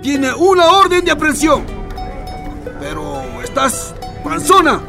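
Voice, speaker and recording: A man's voice: very high-pitched, a little slow, vocal fry, over music, exaggerated voice. A man playing a brusque checkpoint officer giving curt, dismissive orders in a radio drama.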